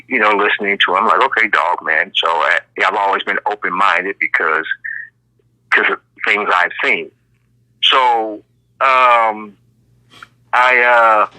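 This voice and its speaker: A man's voice: fairly low pitch, pushed and bright. A middle-aged American man from Ohio telling his story casually as an interview guest, rambling and repeating phrases, over what sounds like a phone call. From Cincinnati, Ohio, United States. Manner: rambling, repeats phrases, often says "you know".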